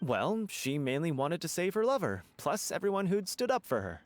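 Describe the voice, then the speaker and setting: A man's voice: fairly high pitch, very animated, moderate pace. A man playing an eager troupe scriptwriter, speaking expressively in scripted game dialogue. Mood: earnest. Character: eager, starstruck male scriptwriter of a theatre troupe. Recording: faint music behind the voice. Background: faint music.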